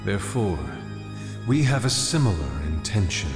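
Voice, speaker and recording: A man playing a solemn, godlike villain in an anime game dub, grave, measured and commanding. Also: on the low side, big pitch swings, over music. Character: solemn, godlike male villain preaching pain. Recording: echoing hall reverb.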